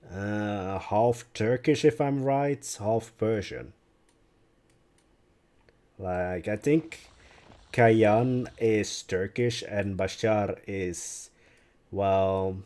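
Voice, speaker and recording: A young Dutch-speaking man talks in English at an unhurried, drawn-out pace while he builds on a live stream. His voice sits low and mellow. He muses aloud and answers viewers' questions as they come. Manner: relaxed, musing aloud, answers chat questions.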